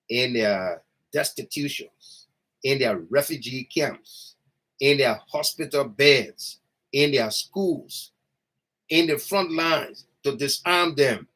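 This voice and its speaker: A man with a Liberian accent hosting a political talk show, speaking emphatically and deliberately and repeating phrases. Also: mid-range voice, fairly bright tone.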